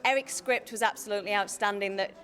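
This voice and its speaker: A woman's voice: mid-range voice, fast, a bit bright. A woman in her late thirties from Yorkshire, talking effusively and emphatically in a casual interview. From Yorkshire, England. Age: late 30s. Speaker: pantomime performer, guest.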